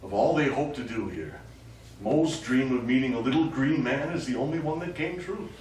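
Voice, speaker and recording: A man's voice: mid-range voice, expressive, moderate pace, darkish tone, close to an everyday voice. A man on stage playing a wry, wistful astronaut who is secretly an alien with a scheme. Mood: wistful, resolved. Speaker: stage actor in a one-act play. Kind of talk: live stage drama monologue. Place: small indoor studio theatre stage. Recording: echoey small theatre, distant mic, faint noise.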